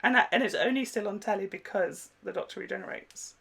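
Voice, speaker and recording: A young woman hosts in a casual, conversational way. She is chatty and giggly, with an everyday pitch and an informal delivery. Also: a little fast, vocal fry, fairly bright tone, breathy edge. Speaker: reaction video host. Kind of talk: casual chat.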